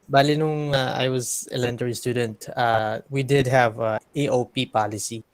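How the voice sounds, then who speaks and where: Smooth-voiced man chatting on a call. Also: medium pitch, fairly even intonation, moderate pace, no echo. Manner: code-switching, chatty, laughing, many fillers. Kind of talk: casual group discussion on a video call.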